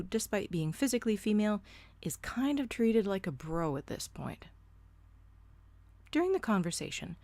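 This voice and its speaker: A woman in her thirties tells a personal story in a blunt, sardonic way. Her voice sits fairly low but swings widely in pitch, and the close recording is dry, with no room sound. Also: darkish tone, dry recording. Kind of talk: first-person storytime narration.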